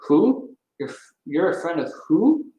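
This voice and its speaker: A man's voice: fairly high pitch, sing-song, measured pace, very echoey, lo-fi sound, voice pushed up. A man putting on a snappish woman on the phone. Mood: irritated. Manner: snappish, near yelling. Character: irritable woman woken by a late call.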